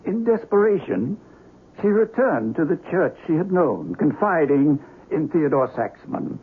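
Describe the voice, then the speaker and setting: An actor playing a grave, learned investigator of the supernatural in a radio mystery, speaking formally and deliberately. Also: male voice, on the high side, big pitch swings. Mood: solemn, assured. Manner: precise, formal, deliberate, theatrical. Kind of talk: radio mystery drama acting. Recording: noisy dull radio broadcast recording.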